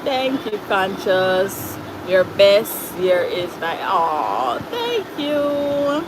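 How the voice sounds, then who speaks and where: A woman's voice: mid-range voice, big pitch swings, extremely slow, noisy background. A woman with a Jamaican accent hosting a casual livestream, reading out viewer comments in a chatty way and slipping into Patois phrases. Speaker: livestream host.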